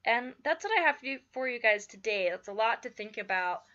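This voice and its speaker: A woman teaching writing craft in a casual spoken lesson, chatty with lots of fillers, her voice a little croaky from just waking up. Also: a little high, animated, fairly quick, pushed and bright, muffled recording. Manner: chatty, many fillers like "like" and "you know".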